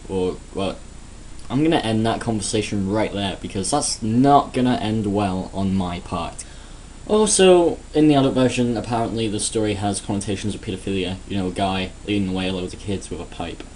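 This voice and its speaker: A man's voice: fairly low pitch, a little mellow, slight background noise, rough recording. A man giving a sarcastic, crude, rambling comedic rant in a casual vlog. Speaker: vlogger.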